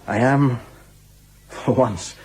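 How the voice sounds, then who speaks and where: A flattering jovial henchman in a radio play, lays it on thick, medium pitch, slight noise. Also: drawn-out words. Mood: fawning. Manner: flattering, effusive, theatrical. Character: fawning, jovial male senior henchman of a dictator. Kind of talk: dramatic radio play acting.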